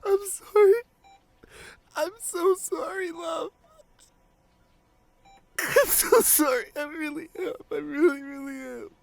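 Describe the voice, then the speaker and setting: Guy acting a guilt-ridden loving boyfriend, highish voice, soft intimate asmr roleplay, halting and emotional. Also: vocal fry, no room sound, full character voice. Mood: tearful, crying. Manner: tender, halting, emotional delivery with repeated phrases. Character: devoted, guilt-ridden boyfriend pleading at comatose lover's bedside.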